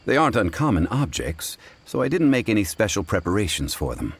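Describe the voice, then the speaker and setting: An actor playing a courteous, refined gentleman in an anime game, formal, composed and measured. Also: fairly low pitch, sing-song, slight background noise.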